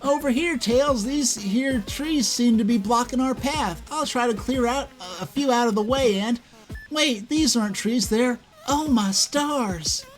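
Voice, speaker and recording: A man plays a sweet Southern rabbit lady from a cartoon. The voice is pushed high with a folksy American Southern drawl, over faint music. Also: measured pace, rough recording. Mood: earnest.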